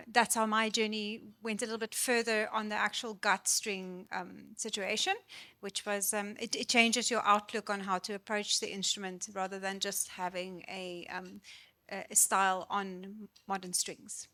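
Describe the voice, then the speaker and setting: A woman with a South African accent speaks in a bright, even voice. She explains her craft patiently and with easy good humour at a festival talk. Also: mid-range voice. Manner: relaxed, explanatory, light laughs. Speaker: baroque violinist.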